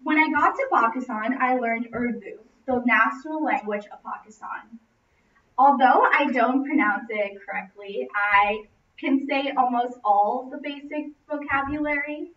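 A young American woman delivers a prepared personal speech in a fairly high voice. She projects expressively across a classroom, picked up from a distance by a camera microphone, so the sound is roomy and echoing. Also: unhurried, fairly bright tone. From Los Angeles, United States. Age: young adult. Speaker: student speaker. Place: classroom, indoors. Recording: roomy, distant, muffled, noisy camera audio.

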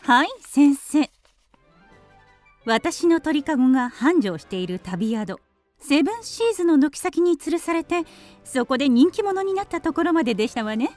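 A ladylike little songbird narrates in an audio drama. The voice is high and lively in pitch, with polite, genteel phrasing. Music plays behind it. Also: moderate pace. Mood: proud, genteel. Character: refined, ladylike female canary telling her life. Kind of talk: Japanese radio drama narration.

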